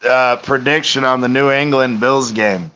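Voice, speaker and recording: Bright-voiced, profane sports podcast host. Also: male voice, medium pitch, steady tone, smooth, highs cut off. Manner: profane, quick banter. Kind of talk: rowdy sports chat.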